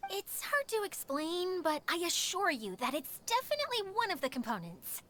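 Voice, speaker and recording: A woman's voice: very high-pitched, big pitch swings, normal speed, sharp tone, heavily acted. A woman voicing a timid, self-doubting, earnest young female inventor in an anime-style video game, with hesitant delivery. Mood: eager, explaining.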